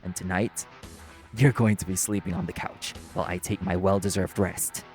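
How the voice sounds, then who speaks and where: An actor playing a primly formal, sarcastic, exasperated waiter in an audio roleplay. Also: male voice, low-pitched, steady tone, normal speed, over loud music, natural voice. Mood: sarcastic, vengeful amusement.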